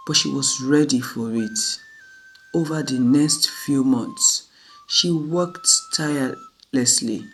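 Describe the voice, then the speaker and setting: A narrator reads a dramatic story slowly and deliberately, pausing often between short phrases. The pitch sits in a middle range, and music plays behind the voice. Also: poor audio. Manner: measured, deliberate phrasing with frequent pauses. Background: background music.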